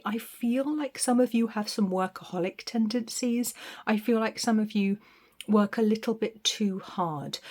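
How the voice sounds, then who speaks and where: A woman giving an intuitive tarot reading to camera, meandering and reflective, with frequent ums and soft restarts, recorded on a phone. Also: mid-range voice. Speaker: tarot reader.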